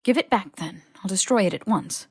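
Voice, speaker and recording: A woman's voice: medium pitch, lively intonation, normal speed, husky, no echo, clean studio sound. A woman voicing a haughty, snippy young male wizard with sneering, clipped, posh phrasing in an audiobook. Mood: angry, snippy. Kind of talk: audiobook character voice.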